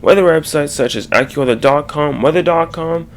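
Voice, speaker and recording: A man giving an informal explanatory slide presentation, haltingly, with stumbles and restarts mid-sentence. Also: a little high, a little hiss or hum.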